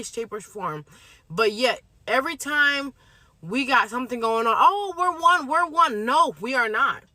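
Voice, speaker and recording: A woman from Somalia delivering an impassioned monologue, forceful and repeating her points. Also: on the high side, no echo.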